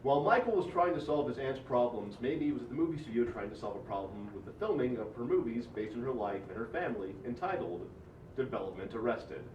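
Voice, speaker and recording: A man's voice: medium pitch, expressive, fast, darkish tone, close to an everyday voice. A man playing a wry sitcom narrator, reading scripted narration at an amateur table read. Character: wry male documentary-style narrator of a sitcom. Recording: muffled, echoey, noisy distant mic.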